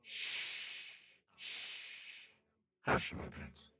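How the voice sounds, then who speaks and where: A man voicing an ancient, seductive female entity in a hushed, breathy whisper for an audiobook. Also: extremely slow, exaggerated voice. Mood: hushed, coaxing. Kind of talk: audiobook voice acting.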